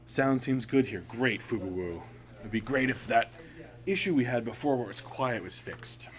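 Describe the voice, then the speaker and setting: A man hosting a storytelling livestream, speaking haltingly with frequent pauses. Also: mid-range voice, expressive. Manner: halting, pausing often. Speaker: writer, editor and stream host. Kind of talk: casual livestream chat and story reading. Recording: audible background hum and noise.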